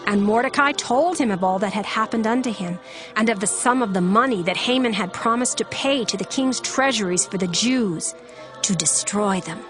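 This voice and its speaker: Female narrator: solemn, measured scripture storytelling, medium pitch, light musical bed. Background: background music.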